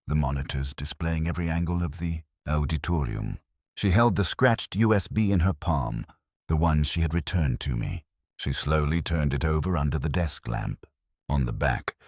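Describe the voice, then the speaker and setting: Very deep-voiced man narrating drama. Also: dry recording, studio quality. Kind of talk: scripted dramatic story narration.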